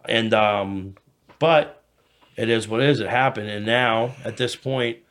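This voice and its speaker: A man's voice: on the low side, measured pace, fairly bright tone. An American man in his thirties chatting casually and candidly, swearing often and rambling as he repeats himself. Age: 30s. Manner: profane, rambling, repeats himself, frequent "you know". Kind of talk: casual candid podcast chat.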